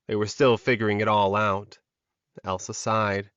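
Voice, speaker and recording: A man's voice: on the low side, little pitch movement, measured pace, fairly bright tone, clear, resonant tone, dry recording, dull audio. A man reading scripted fantasy fiction as a dramatic audiobook narrator.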